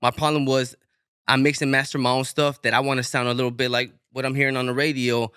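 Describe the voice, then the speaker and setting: A man's voice: mid-range voice, fast, clear, resonant tone, no echo, studio quality. A man from Inglewood, California, talking loosely as a rapper guest on a podcast, swearing freely. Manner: relaxed, loose, swears freely, many restarts. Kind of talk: casual podcast interview.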